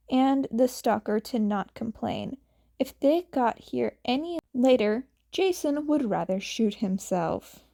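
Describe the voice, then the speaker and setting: Woman reading fanfic out loud, takes it slow, soft-edged mellow voice. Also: fairly high pitch. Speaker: amateur fan fiction reader. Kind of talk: narrated story reading.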